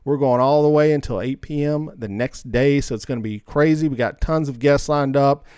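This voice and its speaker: Man, podcast host, smooth clear voice, informal rambling chat, dry recording. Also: mid-range voice, moderate pace, darkish tone, no echo. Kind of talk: casual chat, show announcements.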